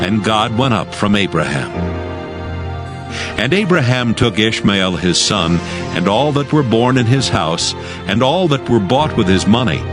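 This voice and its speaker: A man narrating scripture from a script in a measured, formal manner. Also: medium pitch.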